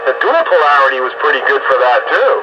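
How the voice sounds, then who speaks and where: A man chatting casually as a radio hobbyist over two-way radio, folksy and halting, with a grumbling way of talking. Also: medium pitch, big pitch swings. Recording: muffled, noisy two-way radio transmission.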